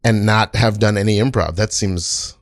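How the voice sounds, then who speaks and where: Low-voiced man, excitable halting podcast host. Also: no room sound, studio quality. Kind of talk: casual panel chat with comedians.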